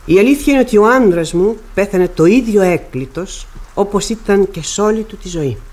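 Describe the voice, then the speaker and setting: An actress as an intense, anguished widow. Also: female voice, medium pitch, animated, darkish tone, natural voice. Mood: bitter, anguished. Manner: formal, intense, dramatic. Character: proud, anguished middle-aged widow, a mother confessing. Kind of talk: Greek radio theatre acting.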